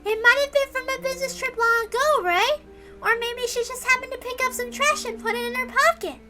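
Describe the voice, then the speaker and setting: A woman voicing a serene, earnest nine-year-old girl who lectures gently and stays unshakably optimistic, in a dramatic story reading. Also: extremely high pitch, fairly even intonation, faint music behind, pitched above the performer's own voice. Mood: calm, reasoning. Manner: measured, reasoning, gently lecturing. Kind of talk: dramatic reading of a visual novel.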